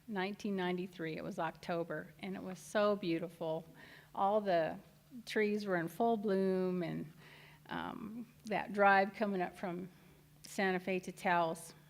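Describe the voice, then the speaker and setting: A soft-spoken woman reading her story and poems to an audience, a little nervous and using fillers. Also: medium pitch. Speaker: guest reader and poet. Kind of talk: personal story and poetry reading.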